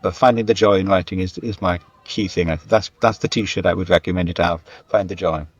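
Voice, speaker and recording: A man's voice: low-pitched, steady tone, brisk, dry recording, highs cut off. A man speaking as an interview guest in a conversational style, thinking aloud and often repeating his words. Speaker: guest, screenwriter and novelist.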